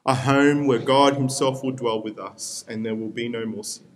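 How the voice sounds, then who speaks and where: A man's voice: mid-range voice, steady tone, normal speed. A young man from Peru whose first language is Spanish, preaching a sermon as pastor in an earnest way with repeated appeals.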